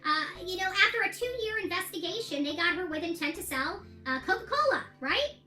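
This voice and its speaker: A high, bright American female voice in an echoey room with some music behind. She talks casually and gives her opinions, circling back to repeat her points for emphasis. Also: lively intonation, brisk. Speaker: self-represented litigant recounting her court case. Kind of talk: casual video diary monologue.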